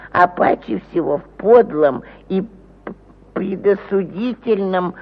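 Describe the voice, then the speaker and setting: Aggrieved landowner, pompous, theatrical radio play delivery, slow ponderous pace, gravelly creak, thin phone-like vintage recording. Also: male voice, sits high. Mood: indignant, demanding. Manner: pompous, ponderous, with stumbles over words. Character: pompous, aggrieved provincial landowner reading out his petition. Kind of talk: theatrical mid-century radio play acting. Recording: phone-like narrow-band old 1950 radio recording.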